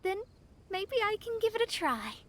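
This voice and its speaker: A woman's voice: very high-pitched, big pitch swings, normal speed, fairly bright tone, slight background noise, full character voice. A woman playing a prim, easily flustered young woman astrologer in a video game.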